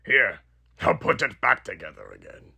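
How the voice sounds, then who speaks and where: A man voicing a sadistic, elderly undead killer animatronic in a horror roleplay, raspy and theatrical, with mocking menace and muttered asides. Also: lowish voice, huge pitch swings, normal speed, sharp tone, heavily acted. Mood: savoring, sinister. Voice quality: raspy, gravelly.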